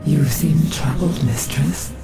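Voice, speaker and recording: Low creaky undead female servant, dutiful, subdued, deferential, video game cutscene. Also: slow, loud music behind the voice, full character voice, rough recording. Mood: concerned. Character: dutiful undead banshee handmaiden, subdued and puzzled.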